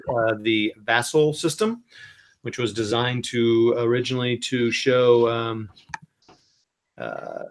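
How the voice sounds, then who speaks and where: A man hosting a board game stream, calmly explaining the rules with lots of ums, talking into a computer mic. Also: mid-range voice. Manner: unhurried, many ums, thinks aloud over rules. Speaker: wargaming stream host. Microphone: computer mic at his desk.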